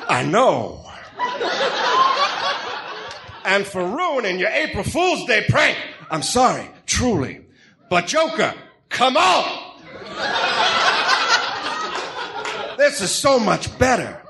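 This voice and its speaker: A man playing an excitable, self-important Greek crime boss in a comic-book radio drama, melodramatic and blustering. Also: sits high, measured pace, creaky, very noisy, exaggerated voice. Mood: pleading, persuading.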